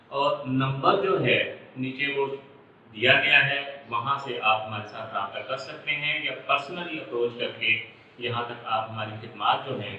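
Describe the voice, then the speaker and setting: A Pakistani man lecturing like a lawyer, explaining deliberately and repeating and rephrasing key points for emphasis. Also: mid-range voice, bright, forceful tone, a little hiss or hum. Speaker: lawyer giving law lectures. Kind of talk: explanatory lecture mixing Urdu and English. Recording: roomy, muffled, distant microphone.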